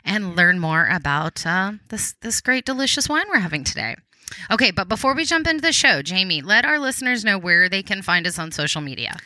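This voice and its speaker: A woman with a Texan accent hosts a casual podcast, chatty and upbeat with easy fillers. Her voice is very bright, with a lively pitch that rises and falls freely. The sound is dry and close. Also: a little fast, no room sound. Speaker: podcast host.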